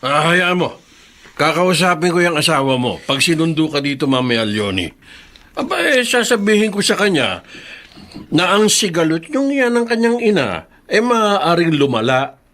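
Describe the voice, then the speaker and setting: A middle-aged Filipino father in a radio drama, stubbornly siding with his child. His voice is high and animated, with folksy, hesitant interjections. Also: normal speed. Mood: protective, defiant. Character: protective, indulgent older father backing his daughter.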